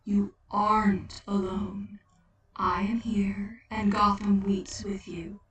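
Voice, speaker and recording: Woman voicing a slow, gentle, sorrowful spirit of Death. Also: mid-range voice, flat delivery, very echoey, far from the mic, natural voice. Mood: sorrowful, consoling. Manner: soft, slow, soothing.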